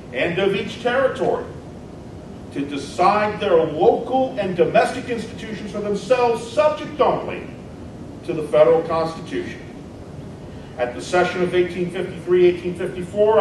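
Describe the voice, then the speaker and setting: A high-voiced, fiery nineteenth-century senator acted by a man declaims a stump speech in emphatic, deliberate phrases. The sound is very echoey and distant, with clear rumbling noise around the voice. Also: lightly acted. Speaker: reader in a dramatic debate reenactment. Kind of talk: dramatic reading of a 19th-century political stump speech. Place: large echoing indoor event room. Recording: echoey hall, distant mic, noisy rumble.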